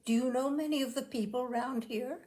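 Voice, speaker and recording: A woman's voice: high-pitched, fairly even intonation, brisk, darkish tone, pitched above the performer's own voice, close to an everyday voice. A woman voicing a self-possessed fifteen-year-old girl from a storybook, with a poised, confiding, dramatic manner. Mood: poised, polite.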